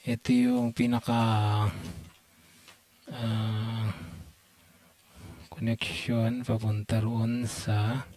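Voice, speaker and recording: A Filipino man giving an unscripted hands-on repair walkthrough as a technician, talking haltingly and half to himself as he works. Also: on the low side, monotone, a little mellow. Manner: halting, muttering to himself while working.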